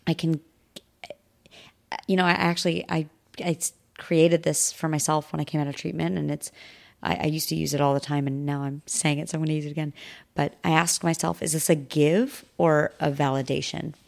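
A woman's voice: deep voice, little pitch movement, fairly quick, gravelly, a little mellow. A woman in her thirties, a podcast guest talking reflectively and earnestly in casual conversation. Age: 30s. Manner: reflective, earnest, talks through thoughts with restarts.

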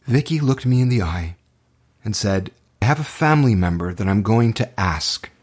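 A man's voice: lowish voice, animated, normal speed, darkish tone. A man speaking as an acting teacher, telling personal stories to motivate students in an earnest, impassioned way with dramatic, emphatic phrasing.

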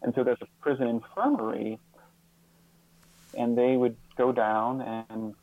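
Male interview guest, mid-pitched, thoughtful and halting delivery, narrow muffled phone-style sound. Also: fairly even intonation, no echo. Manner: halting, earnest, repeats words as he thinks. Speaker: guest author and social scientist. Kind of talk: reflective interview talk.

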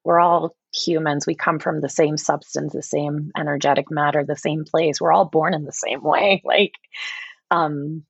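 A woman's voice: sits low, fairly even intonation, moderate pace, creaky, slightly rough, dry recording, highs cut off. A woman from Puerto Rico, a podcast guest, speaking earnestly and passionately, often repeating words as she starts a thought. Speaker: podcast guest, yoga teacher. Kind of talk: casual podcast conversation.

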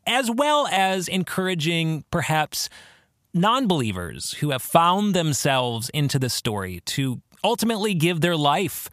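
A man hosting a solo podcast in a reflective way, speaking earnestly with deliberate, emphatic phrasing. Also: fairly high pitch, fairly bright tone, no echo, studio quality. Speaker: podcast host and author.

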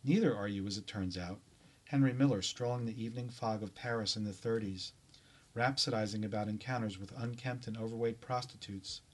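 A man's voice: on the low side, fairly quick. Man reading literary short fiction. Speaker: author reading own short story. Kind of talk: scripted literary narration, second-person address.

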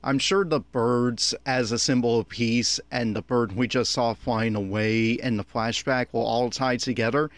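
A man's voice: medium pitch, fairly even intonation, a little slow, few pauses, no room sound. A man giving an unscripted running commentary in a critical, exasperated, opinionated manner. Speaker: commentator on an anime episode.

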